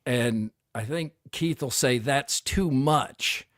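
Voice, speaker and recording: A man's voice: medium pitch, slow, fairly bright tone, dry recording, clean studio sound. A middle-aged man co-hosting a casual talk show, telling stories and acting out quotes. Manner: storytelling, acts out quotes. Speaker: co-host. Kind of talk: casual chat.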